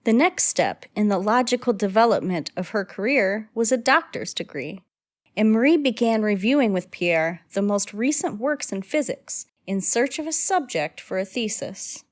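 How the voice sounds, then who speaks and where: A woman's voice: mid-range voice, lively intonation, normal speed. A woman reading scripted narration for an old-time radio drama.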